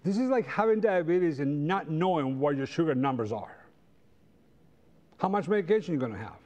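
Higher-pitched Costa Rican man, mellow church teacher. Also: lively intonation, normal speed, dull audio. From Costa Rica. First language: Spanish. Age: middle-aged. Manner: conversational, anecdotal, rhetorical questions. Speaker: Sabbath school teacher. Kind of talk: church lesson to a congregation. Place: large church sanctuary, standing before pews.

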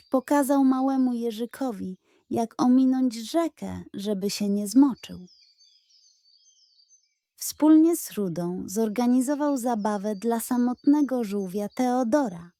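A woman tells a children's bedtime tale at an unhurried pace. Her voice is soft-edged and mellow, on the higher side. She reads gently and expressively, as a storyteller does. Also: no echo. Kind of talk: scripted storybook narration.

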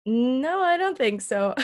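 A young woman from Belgium interviewing a musician over a video call, friendly and quick to laugh. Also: sits high, big pitch swings, a little mellow. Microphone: laptop webcam on video call.

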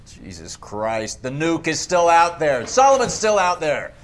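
A man's voice: on the high side, wildly swooping pitch, a little slow. An American man playing a defiant, exasperated Marine sergeant under questioning in a game cutscene. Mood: exasperated, urgent. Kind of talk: dramatic game cutscene acting.

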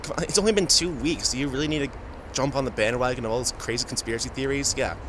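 A man playing an injured, famous musician who is guarded, halting and sarcastic, in an audio roleplay spoken to the listener. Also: mid-range voice, background noise, close to an everyday voice. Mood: sarcastic, suspicious.

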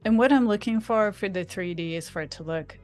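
A woman's voice: medium pitch, a little fast, darkish tone. Woman, enthusiastic tutorial guest on a video call, faint music bed. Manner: chatty, enthusiastic. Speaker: guest designer demonstrating software. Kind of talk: live screen-share tutorial.

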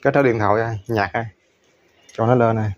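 A man's voice: on the low side, steady tone, fairly quick. Southern Vietnamese man, music behind him. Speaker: repairman vlogger. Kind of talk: casual on-site chat while checking equipment. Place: tin-walled home garage. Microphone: handheld phone camera. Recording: music playing behind, handheld on-site.

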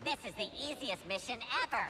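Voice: medium pitch, lively intonation, fairly quick, background noise. An actor voicing a hapless, insecure battle droid in a video game, nasal and whiny through a robot filter. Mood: petulant, chatty. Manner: whiny, petulant. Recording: faint game ambience behind.

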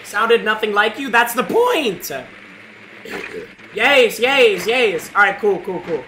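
A young man streaming a game on a headset, talking excitedly to his chat and yelling at big moments. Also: high-pitched, slow pace, a little hiss or hum. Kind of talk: live game stream commentary. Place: small room indoors. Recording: game gunfire in background.

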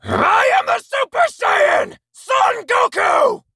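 A man voicing a confident, battle-hungry anime martial-arts hero in a strained, forceful, cocky delivery for a voice reel. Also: extremely high pitch, slow pace, vocal fry, heavy background noise, exaggerated voice. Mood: fierce battle cries. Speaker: amateur voice actor. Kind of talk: anime voice reel of grunts, yells and battle lines.

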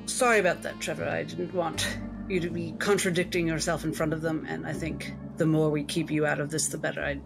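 A woman voicing a shrewd, genteel female doctor with an arch, measured, commanding manner. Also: sits low, little pitch movement, creaky, music in the background. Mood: conspiratorial.

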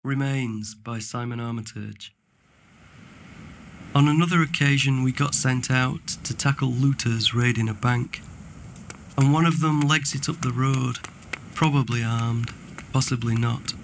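A man reading a poem dramatically as a haunted soldier, deliberate and intense. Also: medium pitch, steady tone, slight background noise, close to an everyday voice. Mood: grim, intense. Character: haunted male British soldier recalling a killing. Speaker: poetry reader.

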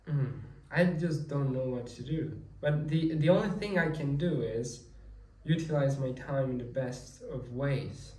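A man's voice: on the high side, a little mellow, distant mic. A young man around twenty talking through his thoughts in a casual, rambling monologue, often restarting his sentences. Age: young adult. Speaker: vlogger. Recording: echoey room, mic set back, faint ambient noise.

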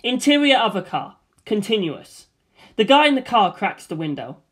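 Deadpan script reader, flat even delivery, mid pitch. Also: moderate pace, husky. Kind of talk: deadpan screenplay reading.

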